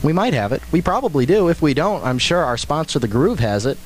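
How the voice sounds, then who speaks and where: A man's voice: fairly high pitch, sing-song, a little fast. A young man co-hosting a college radio music show, chatting in a rambling, self-deprecating way. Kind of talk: casual college radio banter. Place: radio studio. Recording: old radio aircheck with faint hiss.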